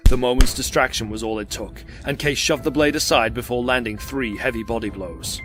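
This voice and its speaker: A man's voice: mid-range voice, fairly quick. A man narrating an audio drama in a scripted, dramatic storytelling style. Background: music.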